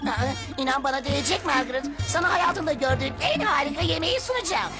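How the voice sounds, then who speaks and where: High animated voice, fawning cartoon creature, gushing, easily flustered, music behind. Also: female voice, quick pace. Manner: gushing, stammering, grandiose. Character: eager, flustered creature underling, anxious to please. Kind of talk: children's cartoon voice acting.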